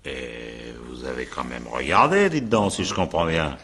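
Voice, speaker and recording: A man acting in a radio crime drama as a patient, insistent investigator, deep-voiced and husky, with a wry, probing manner. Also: animated, unhurried, pushed and bright, a little hiss or hum, exaggerated voice. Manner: probing, wry, conversational, authoritative. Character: patient, insistent male investigator questioning a witness.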